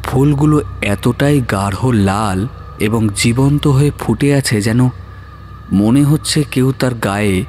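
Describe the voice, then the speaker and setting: Slow, suspenseful male horror story narrator. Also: mid-range voice, darkish tone, faint music behind.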